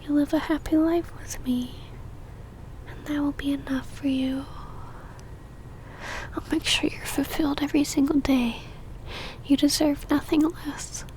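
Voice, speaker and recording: A woman playing a possessive, lovesick female vampire in an ASMR roleplay, whispering breathily in a halting, pleading and tender way. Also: high voice, fairly even intonation, darkish tone, slight background noise, low-quality recording.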